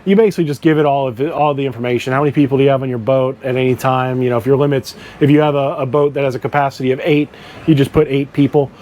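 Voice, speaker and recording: A man hosting an informal instructional boating video, speaking in a halting way as he searches for his words. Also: medium pitch, fairly even intonation, darkish tone. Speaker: boating channel host. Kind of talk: informal instructional explainer to camera. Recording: clear background noise.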